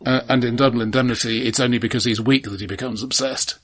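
A man co-hosting a casual film discussion in a measured, analytical way, often repeating words as he frames a point. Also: mid-range voice, fairly even intonation, fairly bright tone. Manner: measured, analytical, halting repetitions. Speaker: film podcast co-host.

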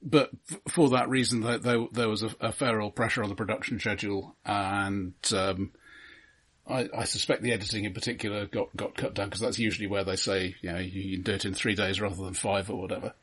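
A man co-hosting a casual film discussion, speaking haltingly and often stammering over repeated words. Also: fairly low pitch, fairly even intonation, a bit bright, breathy edge. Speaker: podcast co-host.

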